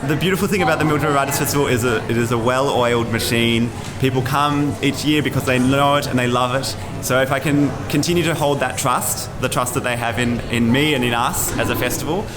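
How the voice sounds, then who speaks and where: Fast-talking Australian festival director. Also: male voice, medium pitch, over music. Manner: upbeat, fluent. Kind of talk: interview.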